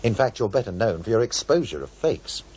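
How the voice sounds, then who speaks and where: A man's voice: on the low side, very animated, a little fast, a little mellow, slightly rough. A man playing a serious documentary presenter in an audio drama, portentous and probing in his delivery. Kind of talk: dramatised documentary narration and interview. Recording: faint background noise.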